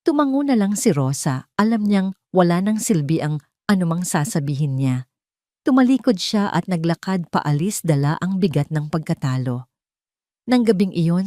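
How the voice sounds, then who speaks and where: A woman's voice: low voice, warm tone, dry recording, studio quality. A Filipino woman reading a scripted dramatic story as its narrator.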